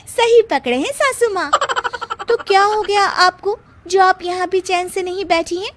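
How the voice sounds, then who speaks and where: A woman's voice: extremely high pitch, lively intonation, slow pace, background noise, exaggerated voice. A woman voicing a bold, sassy young daughter-in-law with a playful manner in a cartoon story. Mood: playful, teasing. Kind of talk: Hindi cartoon story character acting.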